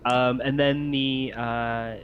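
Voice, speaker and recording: A man with a mid-pitched voice works as game master for a tabletop game, talking players through it. The sound is dull and lo-fi, with noise behind him, as on a group voice chat. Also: little pitch movement, takes it slowly. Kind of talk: casual explanation and scene narration for a tabletop game. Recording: muffled lo-fi voice chat, clear noise.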